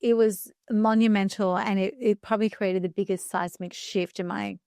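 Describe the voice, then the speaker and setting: A woman in her fifties speaks with earnest conviction, leaning into her points and slowing down to land the important words. Her voice sits in an ordinary middle pitch. It is recorded close to the mic, with a dry, dead sound. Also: fairly even intonation, vocal fry, dark tone, clean tone, dry recording. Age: 50s. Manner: earnest, emphatic, slows down for key phrases. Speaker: guest speaker coach. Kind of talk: reflective podcast conversation.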